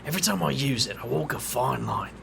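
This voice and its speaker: A man's voice: medium pitch, lively intonation, fast, vocal fry, noisy background. A man voicing a brooding, world-weary wizard investigator with a wry, dry way of talking. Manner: wry, brooding, muttering asides.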